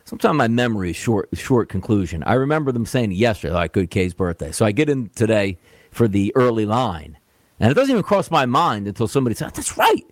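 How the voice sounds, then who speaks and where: Animated man in his forties on sports radio. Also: lowish voice, brisk, dry recording, clean studio sound. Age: 40s. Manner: animated, jokey, self-mocking. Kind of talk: casual radio banter.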